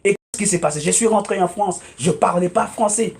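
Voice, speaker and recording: Guest preacher, male, native English speaker, high voice, emphatic, repetitive, hesitant fillers. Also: brisk, darkish tone. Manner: emphatic, repeats phrases, many hesitant "euh"s. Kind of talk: preaching testimony on a talk show.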